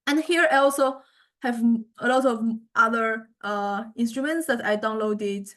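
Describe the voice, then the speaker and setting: A woman lecturer giving an informal software demonstration, thinking aloud in a halting way as she goes. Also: on the high side. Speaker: music lecturer.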